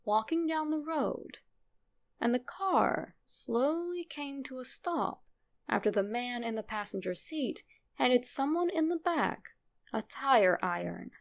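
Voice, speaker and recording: Creaky-voiced woman narrating horror. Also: sits high, lively intonation, slow pace. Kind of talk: scripted creepypasta narration, first person.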